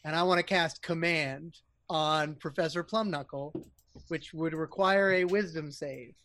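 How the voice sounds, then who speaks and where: A man's voice: a little high, steady tone, a little slow, fairly bright tone, slight background noise. A man playing a character in a tabletop game, thinking aloud and checking the rules as he goes. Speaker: player. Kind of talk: casual tabletop play.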